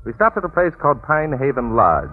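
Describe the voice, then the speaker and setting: A man's voice: mid-range voice, big pitch swings, brisk, faint music behind, close to an everyday voice. A man acting in a 1940s radio drama as a genteel, composed amateur detective, urbane, precise and politely formal. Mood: measured narration. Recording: muffled vintage 1940s radio recording.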